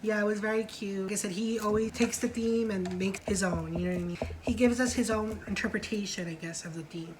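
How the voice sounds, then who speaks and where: A woman's voice: medium pitch, fairly even intonation, a little fast, few pauses, background noise. A woman hosting a casual review vlog, chatting in a slangy way and often checking for agreement. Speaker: vlog host reviewing a TV show.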